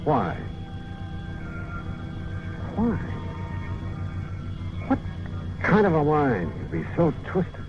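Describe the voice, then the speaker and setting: An actor playing a weary insurance investigator with a dry manner, in an old radio drama. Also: male voice, a little high, sing-song, drawn-out words, long pauses, music in the background, heavily acted. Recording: dull old radio broadcast.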